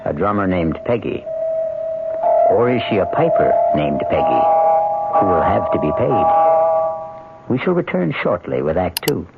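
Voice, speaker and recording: An American man narrating a radio mystery as host, theatrical and wry, with a gravelly voice. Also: low voice, big pitch swings, moderate pace, long pauses. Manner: wry, dramatic. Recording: tinny old radio broadcast under loud music.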